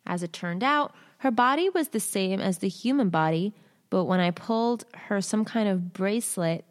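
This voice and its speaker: A woman hosting a show, reading a listener's submitted story aloud in short halting phrases, then chatting candidly with casual slang. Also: on the low side, darkish tone. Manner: candid, uses swearing and slang. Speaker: host reading listener stories.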